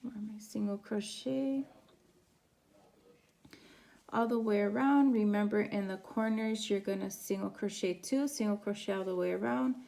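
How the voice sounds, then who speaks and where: A woman teaching a crochet pattern step by step, her voice raspy from a sore throat as she counts stitches aloud. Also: mid-range voice, measured pace, dark tone. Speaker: crochet instructor. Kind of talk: step-by-step craft tutorial.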